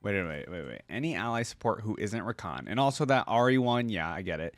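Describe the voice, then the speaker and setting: A man's voice: on the low side, expressive, brisk. A man hosting a reaction video with casual, conversational commentary.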